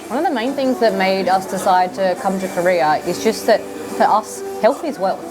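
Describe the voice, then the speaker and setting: A woman's voice: medium pitch, normal speed, over music, low-quality recording. An Australian woman in her thirties chatting casually to camera in a vlog, rambling with lots of fillers. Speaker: vlogger.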